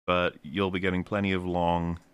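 A man's voice: low voice, little pitch movement, moderate pace, clean tone, no echo. A man hosting a casual stream chat, rambling loosely through viewer messages and swearing now and then. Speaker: host reading viewer messages.

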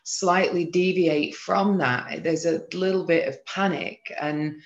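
Casting: English-accented woman podcast interviewer, slightly low voice, casual delivery, somewhat muffled recording. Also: steady tone, slow. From Manchester, England. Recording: roomy echo, slightly dull lo-fi sound.

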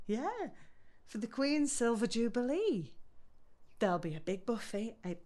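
A woman's voice: fairly high pitch, big pitch swings, a little slow, warm tone. An actress playing a patient middle-aged English mother caring for her parent in an audio drama, chatty and coaxing.

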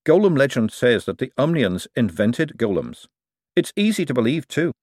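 A man voicing a brisk, stubborn, self-assured woman who explains things insistently in an audiobook story. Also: mid-range voice, sing-song, a little mellow, no room sound, clean studio sound, pitched above the performer's own voice. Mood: lecturing, impatient.

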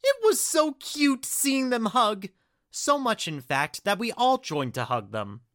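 A woman playing a gushing, excitable young adventurer who retells her story in an audio drama with gleeful exclamations. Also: on the high side, unhurried, dry recording, clean studio sound. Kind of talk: audio drama narration in character.

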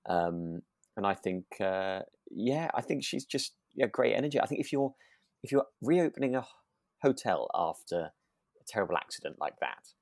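A man's voice: fairly low pitch, lively intonation, normal speed, gravelly, darkish tone, no echo, clean studio sound. A British man co-hosting a podcast in casual conversation, tentative and often rephrasing as he goes. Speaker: podcast co-host. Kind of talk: casual chat discussing a radio drama.

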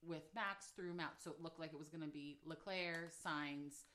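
A woman co-hosting a casual sports podcast, animated and laughing often as she trash-talks. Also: deep voice, normal speed. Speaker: podcast co-host. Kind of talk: casual chat.

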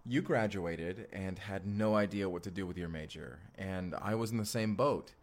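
A man's voice: sits low, normal speed. A young man acting a goofy, affectionate husband from the future in an intimate audio drama, speaking casually and hesitantly straight to the listener. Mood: easygoing, reminiscing. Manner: casual, hesitant, sighing, talks directly to listener. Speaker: actor in audio roleplay. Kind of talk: first-person immersive audio roleplay, intimate conversational acting.

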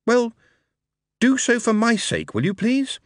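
A man's voice: mid-range voice, huge pitch swings, normal speed, dry recording, clean studio sound, pitched above the performer's own voice. A man voicing an exasperated young man pleading with a horse.

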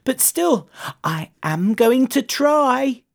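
A woman's voice: high-pitched, very animated, drawn-out words, creaky, pitched above the performer's own voice, heavily acted. A woman voicing a small, hopeful young male moth in a children's storybook, polite and eager. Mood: determined. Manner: polite, eager, breathless wonder.

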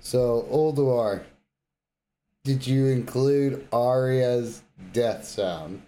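A man's voice: mid-range voice, extremely slow, warm tone. A man co-hosting a podcast, chatting casually about games with crude, informal joking. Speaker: podcast co-host. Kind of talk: casual gaming chat.

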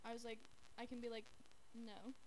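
A young woman with a fairly high voice co-hosts an informal podcast. She tells anecdotes conversationally, quoting herself and others with plenty of "like". The amateur recording carries some faint noise. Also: flat delivery, normal speed, a little mellow, poor audio. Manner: informal, heavy use of "like" when quoting. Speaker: podcast co-host. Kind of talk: casual chat, telling anecdotes.

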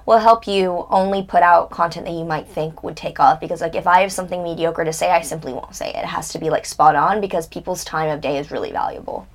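A woman's voice: fairly low pitch, fairly even intonation, quick pace, few pauses. A woman in her twenties, a guest in a casual interview, talking bluntly and candidly and swearing freely. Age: 20s.

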